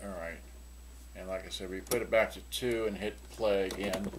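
A man's voice: sits low, fairly even intonation, normal speed. An older man giving a rambling, offhand product demonstration in a casual way. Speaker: seller demonstrating used electronics. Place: small cluttered indoor workshop. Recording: clearly noisy room, clicks of handled switches.

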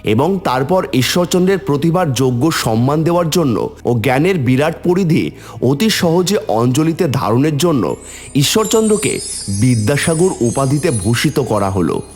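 Animated, husky male storyteller. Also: on the high side, normal speed, soft background music. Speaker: narrator. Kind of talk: scripted dramatic storytelling.

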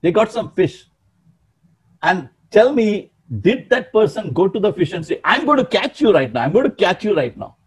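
An older man in his sixties preaches to a congregation in a fired-up, emphatic manner, his voice a little higher than usual and slightly husky. He sounds like he is talking into a webcam on a video call from a small room. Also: normal speed. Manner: emphatic, animated, gesturing, calling out to listeners. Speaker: pastor. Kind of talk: sermon.

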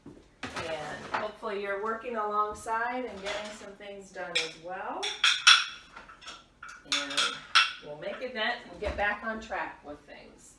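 A woman's voice: mid-range voice, animated, slow. A middle-aged woman chatting casually and off the cuff as she moves around a home kitchen doing chores, like a vlogger. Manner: unscripted, talks while moving about doing chores. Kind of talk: casual chat while tidying. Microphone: camera a few meters away. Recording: roomy, distant mic, clattering dish noise. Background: dishes clattering, clicks.

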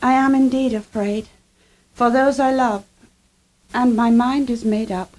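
Woman voicing a young victorian wife, cursed, dignified, high slow voice, radio drama. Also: steady tone, darkish tone, close to an everyday voice, poor audio. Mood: resolute. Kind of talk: 1970s radio drama acting.

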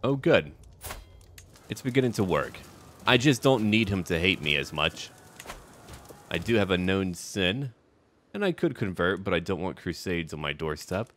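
A man's voice: low-pitched, animated, normal speed, pauses often, a bit bright. A man hosting a roleplay strategy game video, musing aloud in short, clipped remarks. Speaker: gaming video host.

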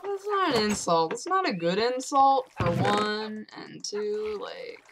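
A young woman with a fairly low voice, rambling absurd jokes while playing a game, with sudden loud outbursts. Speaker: gamer. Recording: loud background noise.

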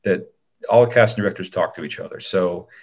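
A man's voice: low-pitched, brisk, muffled recording. A man speaking as an interview guest, giving casual advice in a rambling way full of "you know".

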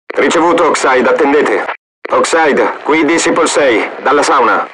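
An actor playing a male mercenary soldier giving brisk radio reports from the field. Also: medium pitch, expressive, fairly bright tone, a little hiss or hum, low-quality recording. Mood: terse. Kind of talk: video game voice acting.

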